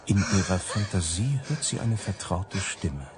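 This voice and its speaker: An actor playing a suggestive story narrator in a German radio crime drama, measured and hypnotic in his delivery. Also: low-pitched, expressive. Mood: measured, suspenseful. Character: deep-voiced, suggestive male story narrator. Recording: loud music behind the voice.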